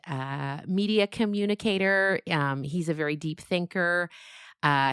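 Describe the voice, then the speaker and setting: A woman's voice: deep voice, smooth, no echo, studio quality. A woman hosting a podcast, speaking casually to her listeners as she gives announcements. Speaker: podcast host and creator.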